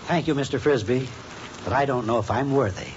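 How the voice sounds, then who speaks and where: A man's voice: medium pitch, fairly quick, lightly acted. A man playing a timid, lonely store clerk who talks meekly and deferentially in a 1950s radio sitcom. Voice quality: meek, deferential. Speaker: umbrella clerk. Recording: muffled old radio recording, background hiss.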